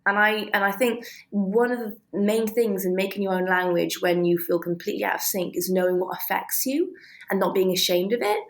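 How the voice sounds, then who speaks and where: Halting, reflective woman with mid-pitched voice. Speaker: interview guest, author. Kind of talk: conversational interview answers.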